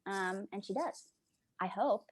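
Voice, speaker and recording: A woman's voice: medium pitch, unhurried, dry recording. A woman, an audio drama actress, talking informally in a casual group chat.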